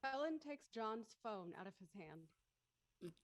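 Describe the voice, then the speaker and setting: A woman's voice: fairly high pitch, very animated, takes it slowly. A woman reading stage directions as the narrator of a scripted drama.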